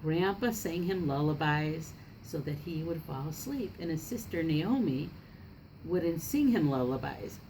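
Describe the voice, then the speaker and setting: Low-pitched woman reading a children's storybook. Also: animated, measured pace, warm tone, a little hiss or hum, poor audio. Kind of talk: expressive read-aloud of a picture book.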